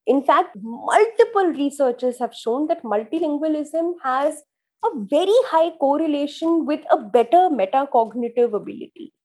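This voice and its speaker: A woman's voice: high-pitched, lively intonation, normal speed, dark tone. A woman with an Indian accent, a professor whose first language is Kangri, answering interview questions earnestly and often repeating words as she thinks. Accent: Indian English. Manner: earnest, repeats words while thinking, addresses host by name. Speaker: education professor, guest.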